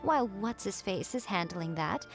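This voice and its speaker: A woman voicing a sly, haughty fox shrine priestess in a video game, teasing in a smug, unhurried manner. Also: medium pitch, lively intonation, close to an everyday voice. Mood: breezy, haughty. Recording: game music faintly behind.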